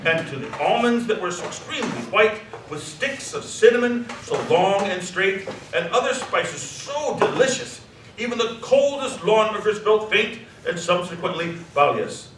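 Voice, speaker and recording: A man narrating a scripted story dramatically, savoring the descriptive words with expressive delivery. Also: high-pitched, moderate pace. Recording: echoey hall, distant mic, faint noise.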